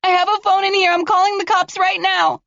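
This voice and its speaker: A frightened teenage girl stands her ground, voiced by a woman reading a horror story. Her voice is high, shrill and strained with urgency. The recording is dull and lo-fi, with a dry sound. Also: flat delivery, brisk, vocal fry, fairly bright tone, dry recording, exaggerated voice. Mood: defiant.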